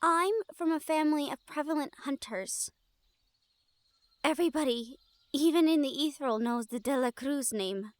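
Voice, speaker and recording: A woman's voice: high-pitched, moderate pace, dry recording, natural voice. A woman acting in an intimate audio roleplay as an anxious, tender young woman from a family of hunters, speaking earnestly and hesitantly. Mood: worried, earnest.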